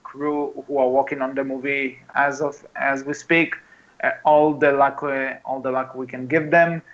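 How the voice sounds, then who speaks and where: A man's voice: medium pitch, level tone, moderate pace, highs cut off. A man co-hosting a casual movie podcast, chatting in an exclamatory way. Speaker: podcast host.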